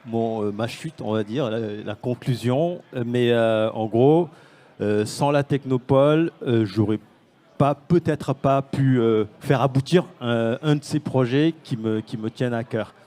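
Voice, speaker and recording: A man's voice: medium pitch, a little slow, warm tone. A man from Senegal, a startup founder, speaking on a conference panel with many fillers and restarts. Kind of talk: panel talk.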